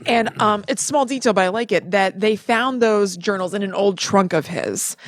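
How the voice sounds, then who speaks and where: A woman's voice: mid-range voice, moderate pace, dry recording. A woman co-hosting a podcast, telling stories in a casual, chatty way and correcting herself as she goes. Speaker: podcast co-host. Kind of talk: casual conversational storytelling.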